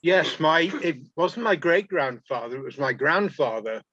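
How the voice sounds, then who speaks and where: A man's voice: fairly high pitch, animated, measured pace, a bit bright, dry recording. Man from England, dry muffled sound. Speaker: panel participant. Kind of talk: casual recollection. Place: video call. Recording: dry, muffled video call audio.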